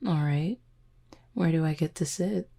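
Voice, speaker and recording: Woman playing a low, creaky, flirty werewolf. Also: unhurried, mellow, heavily acted. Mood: playful, teasing. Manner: teasing, flirtatious, smooth-talking. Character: flirty, teasing, confident female werewolf pack beta. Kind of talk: roleplay audio drama, intimate one-sided conversation.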